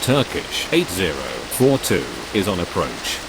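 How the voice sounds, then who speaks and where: A man with a slightly low voice and a lively, moving pitch gives scripted aviation calls. The phrasing is formulaic and clipped. Loud rushing noise surrounds the voice. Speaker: air traffic radio voice in a game.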